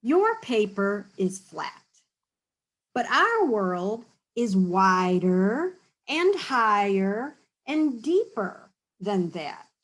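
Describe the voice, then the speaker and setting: A woman's voice: mid-range voice, very animated, drawn-out words, heavily acted. A woman voicing a patient female art teacher giving advice in a read-aloud storybook. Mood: gentle.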